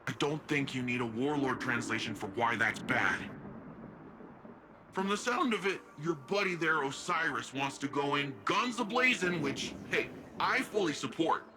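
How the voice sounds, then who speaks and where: An actor playing a cocky, playful young hero in a video game, talking in casual, stop-start asides. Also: male voice, mid-range voice, background noise.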